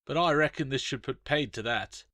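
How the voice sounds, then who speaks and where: A man's voice: medium pitch, moderate pace, bright, forceful tone, no room sound, studio quality, pitched above the performer's own voice, close to an everyday voice. A man voicing a blunt, grumbling male custodian at a fantasy park in a story reading. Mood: grim resignation. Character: gruff, exasperated male theme park custodian.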